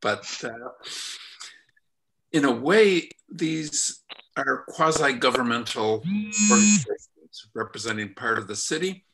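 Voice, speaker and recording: A man in his seventies giving deliberate, halting comments as a committee member in an online meeting. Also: mid-range voice, expressive, a bit bright, slight background noise, poor audio. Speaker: committee member from a cycling coalition. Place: indoor room at home, on a video call.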